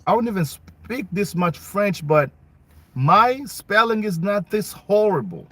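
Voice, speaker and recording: A man's voice: medium pitch, fairly bright tone, breathy edge. A young man from Congo giving a casual, emphatic opinion monologue full of rhetorical questions, his not English. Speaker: vlogger.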